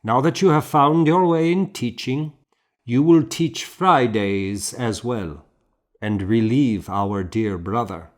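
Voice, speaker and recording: A man's voice: mid-range voice, slow pace, pitched above the performer's own voice, close to an everyday voice. A man voicing an authoritative monastery superior in an audiobook, giving firm instructions. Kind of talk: audiobook character acting.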